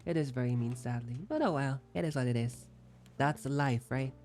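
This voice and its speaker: Brief: young male streamer, mid pitch, loose self-deprecating chatter, light music underneath. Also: moderate pace, a little mellow. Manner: rambling, playful, self-deprecating. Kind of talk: casual chat while gaming.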